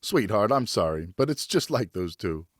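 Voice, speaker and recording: A male narrator acts a weary French nobleman and father in a historical audiobook. He reasons earnestly and soothingly, with an animated rise and fall above the reader's usual low pitch. The sound is very dry. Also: normal speed, no room sound. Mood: placating. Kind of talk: audiobook character acting.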